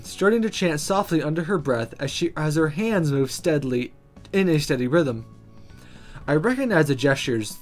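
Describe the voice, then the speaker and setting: Lively game player reading narration aloud. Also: mid-range voice. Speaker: let's play host. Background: game music.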